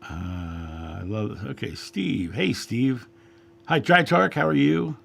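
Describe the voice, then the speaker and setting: A man hosting a spiritual livestream, chatting casually and greeting viewers by name, his voice a little raspy after a long week. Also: medium pitch, lively intonation, slow, fairly bright tone, a little hiss or hum. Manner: friendly, chatty, reads names from chat with frequent fillers. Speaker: livestream host, spiritual guide. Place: home studio.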